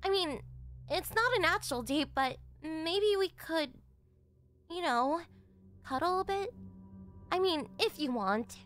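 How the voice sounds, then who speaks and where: Woman playing high-pitched, flustered tsundere girlfriend. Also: expressive, slow pace, pauses often, fairly bright tone, exaggerated voice. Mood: shy affectionate. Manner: stammering, whiny, defensive. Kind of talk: anime-style ASMR roleplay acting.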